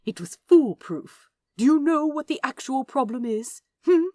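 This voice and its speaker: A prim and stubborn male angel, voiced by a woman narrator in a dramatic audio story. The speech is genteel and huffy with lively, swooping intonation, recorded very dry. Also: high voice, unhurried, no echo, voice pushed up. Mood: indignant. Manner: scoffing, huffy, insistent, genteel wording. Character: prim, indignant male angel, fussy and stubbornly self-righteous. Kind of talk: dramatic fan fiction reading.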